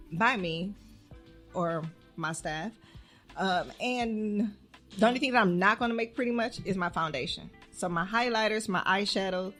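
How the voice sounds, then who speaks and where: A woman's voice: on the low side, a bit bright. A woman, chatting casually on a podcast about her businesses, laughing often. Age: 30s. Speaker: podcast co-host and business owner.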